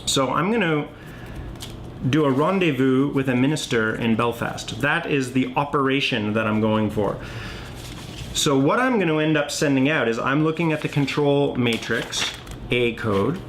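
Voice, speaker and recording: A man's voice: medium pitch, lively intonation, unhurried, a bit bright, slight background noise. A man giving a casual tutorial, thinking aloud step by step and often checking in as he goes. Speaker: board game designer demonstrating his game.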